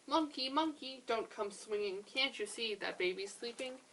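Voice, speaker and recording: A woman's voice: on the high side, moderate pace, a bit bright, a little hiss or hum. A woman voicing a protective mother in a storybook lullaby, chanting her pleas in rhythm. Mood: chanting, pleading. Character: protective mother shushing animals in lullaby tale.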